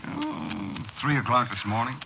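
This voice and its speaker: An actor playing an easygoing, slyly ominous bartender in an old-time radio horror drama, with casual, folksy, needling talk. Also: male voice, mid-range voice, huge pitch swings, measured pace, creaky, over loud music, full character voice. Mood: amused, needling. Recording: noisy, muffled old radio drama recording.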